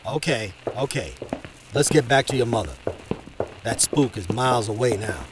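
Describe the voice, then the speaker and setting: Casting: male actor for plucky, melodramatic rescuer, mid-pitched and lively, noisy vintage radio scene. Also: moderate pace, natural voice. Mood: baffled. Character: bold, excitable passer-by coming to a woman's rescue. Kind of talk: 1920s old-time radio drama acting.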